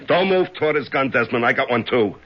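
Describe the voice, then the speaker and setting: An actor playing a cool, sardonic, menacing racketeer in a 1970s radio drama, with a husky voice. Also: male voice, medium pitch, expressive, quick pace, background noise, natural voice. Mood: threatening. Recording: dull old radio broadcast.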